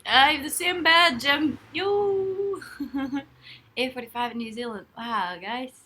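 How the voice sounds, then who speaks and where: Young woman, bright voice, rambling giggly live-stream chat from her bed. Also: sits high, takes it slowly. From Ireland. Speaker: livestreamer. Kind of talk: casual live-stream chat, reading comments. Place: bedroom, in bed.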